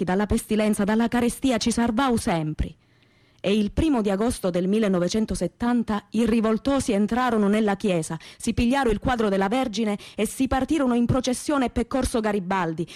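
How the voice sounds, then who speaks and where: A woman's voice: on the high side, a little mellow, no echo, natural voice. An actress playing a plain-spoken, proud young working-class woman with a Calabrian accent, telling her memories in earthy, colloquial radio theatre. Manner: earthy, colloquial, repetitive folk storytelling. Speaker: stage actress performing a monologue. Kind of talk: dramatic first-person storytelling in dialect-tinged Italian radio theatre.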